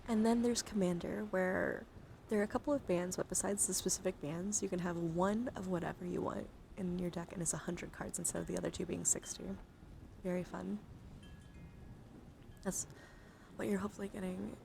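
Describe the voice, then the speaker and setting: A woman hosting an ASMR livestream, chatting softly and often slipping into a whisper with careful, deliberate enunciation. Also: lowish voice, fairly even intonation, gravelly. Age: adult, over 18. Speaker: streamer host. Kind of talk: soft ASMR livestream chat. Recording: noticeable background noise.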